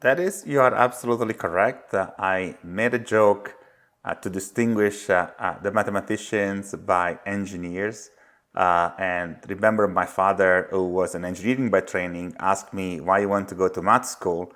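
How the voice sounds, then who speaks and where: A middle-aged man from Italy with an Italian accent, a company founder speaking as a podcast guest, pausing often on "uh". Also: low voice, steady tone, fairly bright tone. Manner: many "uh" fillers, unhurried. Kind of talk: podcast interview.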